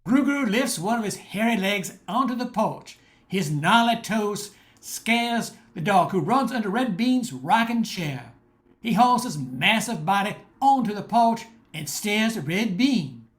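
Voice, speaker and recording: A woman narrates a radio play from a script. Her voice sits at an average pitch for a woman. She tells the story as narrator. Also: animated, unhurried.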